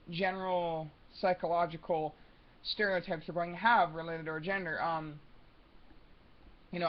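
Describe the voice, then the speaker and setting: A young man with a high, soft voice talking unscripted at home, rambling with many ums and restarts. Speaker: vlogger. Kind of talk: unscripted casual monologue. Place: room at home. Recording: muffled lo-fi home recording.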